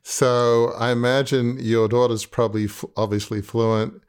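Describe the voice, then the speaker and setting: A man's voice: mid-range voice, flat delivery, measured pace, clear, resonant tone. A man hosting an interview, asking questions in a chatty, easygoing way. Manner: chatty, laughs easily. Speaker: interview host.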